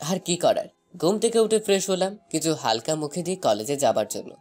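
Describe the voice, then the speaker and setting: A man's voice: fairly high pitch, sing-song, fairly quick, darkish tone. A man playing a shy young college student, narrating a romantic story in the first person.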